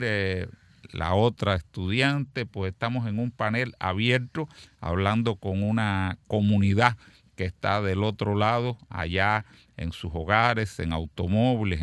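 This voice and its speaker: Male radio host, low voice, dry close sound, formal and measured with fillers. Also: creaky, fairly bright tone, no room sound. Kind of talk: community radio panel.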